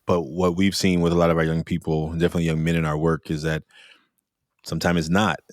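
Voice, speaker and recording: A man's voice: sits low, flat delivery, quick pace, no echo, clean studio sound. A man hosting a podcast, speaking casually in a reassuring, encouraging, conversational way. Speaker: podcast host.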